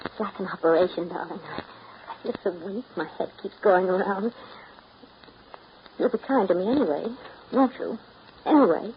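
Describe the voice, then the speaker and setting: A woman's voice: medium pitch, normal speed, long pauses, lightly acted. An actress playing a needy, coquettish, self-pitying woman in a 1930s radio drama, with a pleading, theatrical delivery. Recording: muffled, hissy 1939 radio broadcast.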